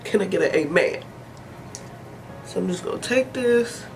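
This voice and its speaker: A woman's voice: lowish voice, expressive, normal speed, over music. A woman giving a casual hair tutorial, chatty and joking, sniffly with a head cold. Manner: chatty, joking, talks to herself. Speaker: hair vlogger.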